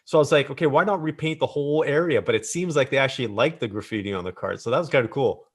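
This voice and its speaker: A man hosts a relaxed interview, encouraging his guest with open questions. His voice sits at an ordinary pitch. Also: fast, husky. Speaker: interview host. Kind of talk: casual interview.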